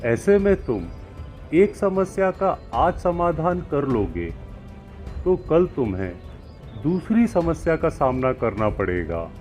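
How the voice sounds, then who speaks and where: A wise holy man, played by a man, counsels in a slow, deliberate way with clear pauses. His mid-pitched voice rises and falls expressively as he teaches, in moral-tale style, over music on a slightly dull recording. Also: sing-song, darkish tone. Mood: philosophical, instructive. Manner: measured, didactic, deliberate pauses. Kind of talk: acted moral-story dialogue. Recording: muffled lo-fi with music behind.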